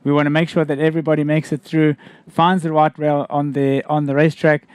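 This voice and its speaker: Guy presenting a live race show, clear voice, kinda high, dry close sound. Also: little pitch movement, brisk, no echo. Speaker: broadcast presenter.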